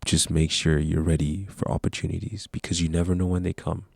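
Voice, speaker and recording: Deep gravelly young man talking to camera. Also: little pitch movement, fairly quick, darkish tone, no echo, studio quality. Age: young adult. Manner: earnest, motivational, rambling with restarts. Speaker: vlogger. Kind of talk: casual talk to camera.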